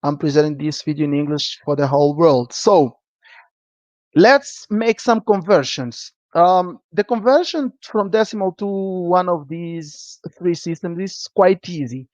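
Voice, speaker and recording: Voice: medium pitch, unhurried, darkish tone, no room sound. English teacher, keeps asking okay?, lo-fi muffled sound, dry room. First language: Portuguese. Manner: checks in with a frequent "okay?". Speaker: programming teacher. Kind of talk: live-streamed lesson. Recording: dull lo-fi dry recording.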